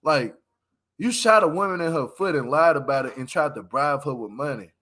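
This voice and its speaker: High-pitched, bright-voiced man commenting casually. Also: normal speed. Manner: emphatic, repetitive, slangy. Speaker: livestream host. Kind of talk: casual opinionated livestream commentary.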